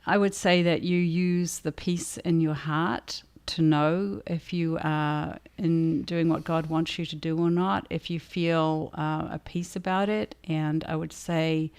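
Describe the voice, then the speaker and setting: Woman author co-hosting a casual livestream; very low, gravelly fry, thoughtful and halting. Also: a little mellow. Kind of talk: casual livestream chat, answering audience questions.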